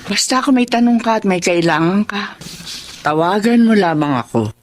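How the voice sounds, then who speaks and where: A woman's voice: medium pitch, animated, normal speed, close to an everyday voice. An actress plays an older businesswoman and mentor in a radio drama. She sounds motherly, businesslike and reassuring, with very varied intonation over faint background noise. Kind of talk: Tagalog radio drama acting.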